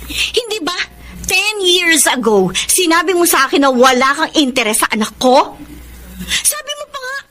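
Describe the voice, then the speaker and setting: Scornful protective mom in a pinoy radio drama, super high bright voice, very animated. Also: female voice, full character voice. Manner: confrontational, scornful, emphatic. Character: bitter, defiant mother shielding her child from an ex. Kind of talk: Filipino radio drama acting.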